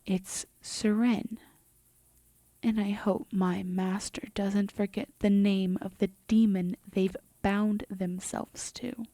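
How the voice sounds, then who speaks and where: A woman playing a composed, teasing female demon in an intimate roleplay audio drama, often slipping into a breathy half-whisper. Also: mid-range voice, little pitch movement, takes it slowly, warm tone, no echo. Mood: smug, teasing.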